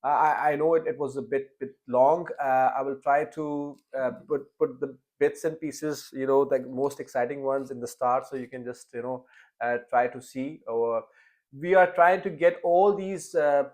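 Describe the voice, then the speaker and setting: A man's voice: medium pitch, normal speed, darkish tone. A young man hosting a casual interview podcast, talking with frequent fillers and restarts. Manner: hesitant, many fillers and restarts. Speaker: podcast host.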